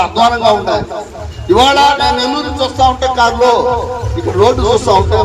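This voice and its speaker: Male party leader, very high pitched, emphatic rally oratory, recorded outdoors at a distance. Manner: emphatic, repetitive, rhetorical questioning. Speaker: political party district leader. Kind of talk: impassioned outdoor political speech. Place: outdoors at a village gathering. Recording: distant outdoor mic with crowd noise.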